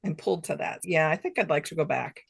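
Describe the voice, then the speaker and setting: A woman's voice: low-pitched, fast, vocal fry, husky. A middle-aged or older woman chatting casually as an interview guest, effusive and enthusiastic. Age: middle-aged or older, has grandchildren. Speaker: guest author.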